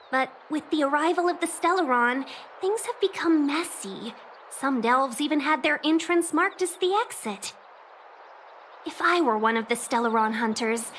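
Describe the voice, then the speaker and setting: A woman voicing a composed young official in a video game, speaking in a measured, explanatory way. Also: high-pitched, a bit bright, a little hiss or hum.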